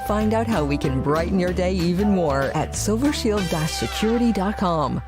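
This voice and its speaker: A woman's voice: fairly low pitch, lively intonation, normal speed. Woman reading an ad over music. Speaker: commercial announcer. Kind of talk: scripted ad read.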